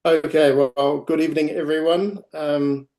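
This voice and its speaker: Slow, hesitant New Zealand man. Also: a little high, steady tone, dark tone. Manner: hesitant, many ums and restarts. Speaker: guest speaker, project founder. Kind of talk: informal presentation on a video call. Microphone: video call.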